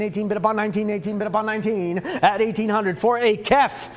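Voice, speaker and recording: A man with a Swedish accent working as an auctioneer, calling bids in a rapid, repetitive chant. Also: high-pitched. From Sweden. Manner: repetitive rhythmic bid chant.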